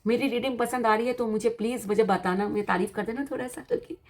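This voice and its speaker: A woman's voice: on the high side, steady tone, quick pace. A woman with an Indian accent and a slightly worn voice gives an informal tarot reading, explaining each point in a teacherly, encouraging way and often repeating and rephrasing it. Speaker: tarot card reader and host.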